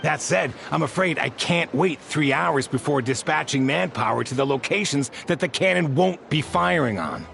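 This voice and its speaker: Gravelly, composed soldier. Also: male voice, a little high, a bit bright, soft background music. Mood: serious, firm. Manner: formal, measured, serious. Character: composed, formal male soldier of a guard order. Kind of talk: video game voice acting.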